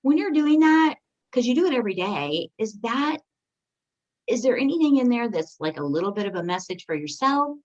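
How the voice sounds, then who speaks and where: A woman hosting a podcast interview, eager and quick to affirm her guest. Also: on the high side, big pitch swings. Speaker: podcast host. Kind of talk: casual interview. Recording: muffled lo-fi audio.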